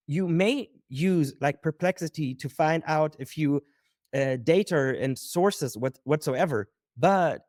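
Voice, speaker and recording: A man answering as a digital marketing expert on a podcast. He explains in a measured way, working through examples with a few "uh" pauses. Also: a little high, darkish tone, dry recording, clean studio sound.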